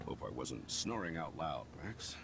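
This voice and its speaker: An actor playing a middle-aged school principal in a video game, avuncular and dryly joking, self-important but encouraging. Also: male voice, a bass voice, sing-song, unhurried, creaky, background noise, full character voice. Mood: weary.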